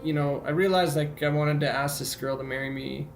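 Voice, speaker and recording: A middle-aged Canadian man with a measured, hesitant way of talking, casually recalling his life as an interview guest in an echoey room. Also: a little high, steady tone. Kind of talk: casual recollection. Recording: echoey room, guitar music behind.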